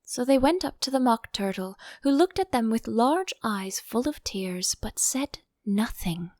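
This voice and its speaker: A woman reading an audiobook as an expressive storybook narrator, close to the microphone. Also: fairly high pitch, a little slow, no echo.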